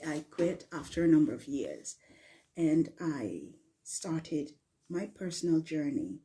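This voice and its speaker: A middle-aged woman from Guyana who has lived in the UK, presenting a coaching talk in deliberate phrases, repeating words for emphasis. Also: mid-range voice, warm tone. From Guyana, later living in the UK. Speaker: leadership coach presenting a challenge. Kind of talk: live online coaching talk from slides.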